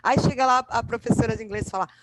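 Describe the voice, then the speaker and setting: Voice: medium pitch, steady tone, very fast, very noisy. A teacher in a training session, talking casually and telling a personal anecdote to colleagues. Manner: conversational, tells a personal anecdote. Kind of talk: casual discussion.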